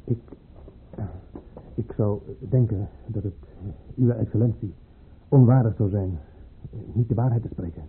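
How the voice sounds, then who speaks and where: Raspy, wary common soldier. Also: male voice, lowish voice, exaggerated voice. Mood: nervous, careful. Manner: hesitant, deferential, halting. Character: wary, humble male common soldier addressing his superior. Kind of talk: radio play acting. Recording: muffled, narrow-band old radio recording.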